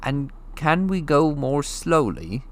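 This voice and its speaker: A man's voice: fairly high pitch, sing-song, slow pace, voice pushed up. A man voicing a curious eleven-year-old boy wizard in a storybook reading, eager and full of questions. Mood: calling out.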